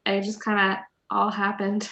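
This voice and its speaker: A woman's voice: medium pitch, monotone, a little slow, a bit bright, dull audio. A woman chatting casually and informally on a group call. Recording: roomy, echoing home call audio.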